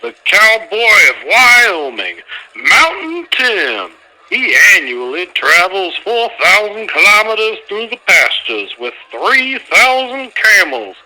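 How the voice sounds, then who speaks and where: A man's voice: sits high, sing-song, takes it slowly, bright, forceful tone, a little hiss or hum, heavily acted, rough recording. A man voicing a boastful, flamboyant race promoter in an anime fan dub, with a grandiose, emphatic showman's delivery. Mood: grand, showy.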